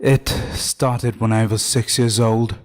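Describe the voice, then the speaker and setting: A man's voice: fairly low pitch, little pitch movement, slow pace. A man reading a scripted first-person horror story as narrator, with music behind him.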